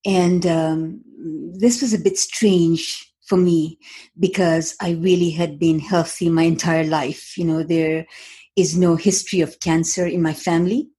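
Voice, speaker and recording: Guest, woman, middle-aged, from Tanzania; low, mellow, husky voice, reflective and unhurried. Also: steady tone. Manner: thoughtful, measured, frequent ums and uhs. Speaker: guest, author. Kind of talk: interview conversation.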